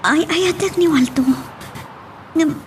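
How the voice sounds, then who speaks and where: A woman's voice: very high-pitched, measured pace, a little hiss or hum. A woman playing an anxious, bashful daughter in an Ilocano audio drama. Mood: bashful, hesitant.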